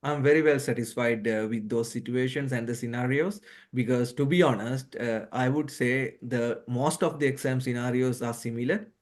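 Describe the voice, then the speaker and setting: A man's voice: mid-range voice, normal speed. A man who is a non-native English speaker, answering interview questions as a guest doctor, rephrasing hesitantly as he explains. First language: not English. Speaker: guest, doctor who passed a surgical exam.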